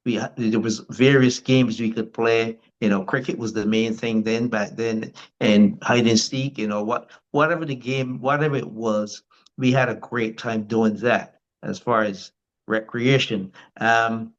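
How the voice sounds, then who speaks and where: A man's voice: medium pitch, steady tone, a little slow, muffled recording. An older man from Barbados with a Barbadian accent, telling his life story as a guest in a casual interview, rambling with many restarts.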